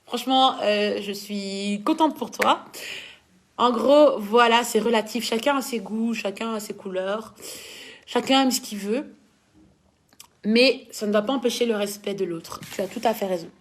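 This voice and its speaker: A woman's voice: a little high, measured pace. A young woman from Belgium with a Belgian French accent, chatting casually as she reads out and answers viewers' questions. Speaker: dancer answering fan questions.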